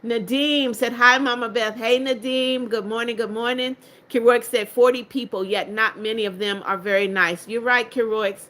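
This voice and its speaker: An older woman hosting a live stream, greeting viewers one by one as she reads their comments. Her voice is bright and clear, and she turns firm and strict when warning people who spam her chat. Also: a little high, steady tone, moderate pace. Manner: strict, firm about blocking spammers. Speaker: livestream host.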